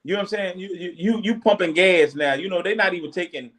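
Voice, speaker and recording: A man's voice: high-pitched, fast, fairly bright tone, slight background noise. A man on a casual podcast, earnestly pressing advice on parents and repeating "you know what I'm saying.". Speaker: podcast co-host.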